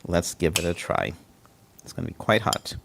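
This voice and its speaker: A man's voice: low voice, animated, moderate pace, creaky. A man walks viewers through a home cooking demo, chatty and encouraging as he tastes the food. His voice moves up and down a lot. The video call audio carries a faint hiss. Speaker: home cook presenter. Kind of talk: casual cooking demonstration. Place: home kitchen. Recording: faint background noise.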